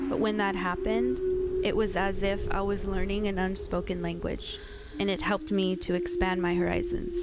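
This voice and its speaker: A young woman from Southern California, a musician and chef, telling her own story in calm, reflective documentary narration. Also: medium pitch. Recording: voice-over with music behind.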